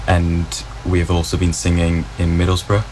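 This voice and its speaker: A twenty-year-old man answering interview questions about his singing group in a calm, matter-of-fact way. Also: sits low, moderate pace, background noise. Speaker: vocal group arranger.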